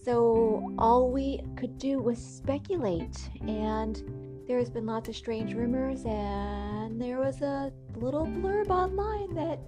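A woman with a breathy, husky, asthmatic voice, hosting a podcast and reading aloud. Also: on the high side, slow, music in the background. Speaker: podcast host and book reader. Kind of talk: casual podcast intro, then audiobook-style reading of a classic novel.

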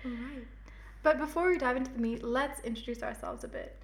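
A woman's voice: high voice, brisk. A twenty-one-year-old woman co-hosting a podcast, chatting in an informal, lighthearted, conversational way. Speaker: podcast co-host. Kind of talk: casual chat.

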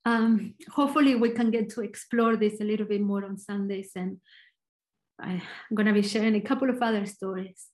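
A woman with an Argentinian accent giving a short, earnest devotional talk, reflective and pausing. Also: medium pitch, steady tone, darkish tone. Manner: earnest, reflective, slow pauses. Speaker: church speaker.

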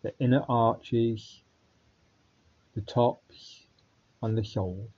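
A man guiding a body scan meditation in a calm, unhurried way with long pauses. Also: medium pitch, husky, highs cut off. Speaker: meditation guide.

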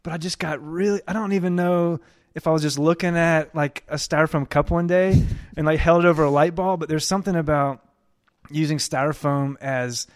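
A man's voice: on the high side, steady tone, fairly quick. A man in his thirties telling a rambling story on a podcast, laughing often and circling back on himself. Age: 30s. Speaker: podcast host. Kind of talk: casual chat.

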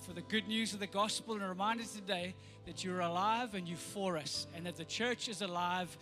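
A middle-aged South African man with a slightly croaky voice, praying and preaching a sermon with earnest, rising emphasis. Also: high-pitched, brisk, music in the background. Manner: earnest, builds to rousing emphasis. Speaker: pastor. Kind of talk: sermon with prayer.

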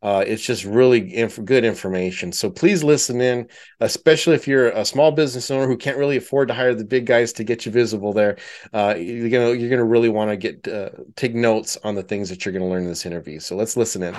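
A man hosting a podcast, friendly and a bit halting, with many uh's and restarts as he introduces a guest. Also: medium pitch, a little mellow. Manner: friendly, hesitant, many fillers. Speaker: podcast host.

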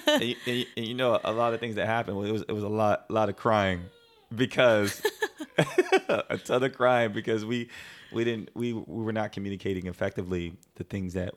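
American man, low-pitched voice, animated and joking, relationship podcast host. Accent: American, New Jersey area. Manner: animated, laughs often, quick asides to the audience. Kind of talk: casual conversation with his wife.

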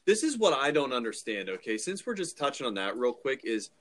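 A man's voice: medium pitch, sing-song, fairly quick, slight background noise. A man in his thirties hosting a casual podcast chat, informal, emphatic and opinionated. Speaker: podcast host.

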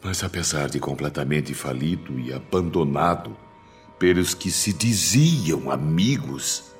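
A man's voice: very deep voice, exaggerated voice. An actor playing a ruined, self-loathing, lovesick man in a Brazilian radio soap, with bitter, theatrical delivery. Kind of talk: radio soap opera acting. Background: music.

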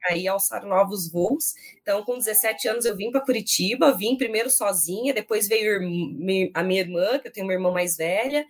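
A Brazilian woman in her thirties with a rural São Paulo accent and drawn-out r, talking casually as an interview guest. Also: medium pitch. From São Paulo state, Brazil. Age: 30s. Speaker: interview guest, education professional.

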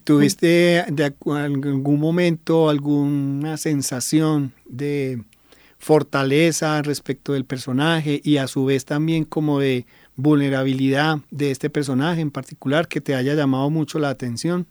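A man's voice: on the high side, level tone, unhurried, a little mellow, clean tone, no room sound, clean studio sound. A man with a Colombian accent hosting a radio show in an enthusiastic, courteous way. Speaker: co-host, professor.

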